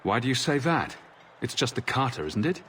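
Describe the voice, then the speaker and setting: A man's voice: medium pitch, sing-song, brisk, a bit bright, slight background noise. A man playing a resolute, direct hero in a fantasy video game, questioning firmly. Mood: puzzled, determined. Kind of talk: video game cutscene acting.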